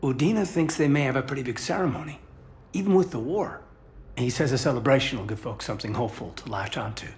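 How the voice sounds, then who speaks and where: An actor playing an earnest, soft-spoken male soldier who has just been made an elite agent. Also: mid-range voice, fast, slight background noise, close to an everyday voice. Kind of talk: video game voice acting.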